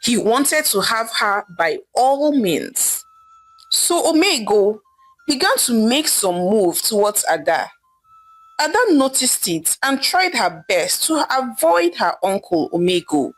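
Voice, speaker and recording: Expressive, high-voiced woman storyteller. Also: slow, rough recording. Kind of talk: scripted folktale narration. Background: background music.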